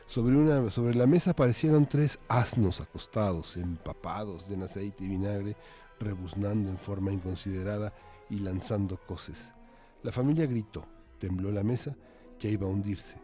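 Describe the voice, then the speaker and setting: A man's voice: lowish voice, normal speed, warm tone, faint music behind. A man reading a story aloud expressively, with theatrical phrasing.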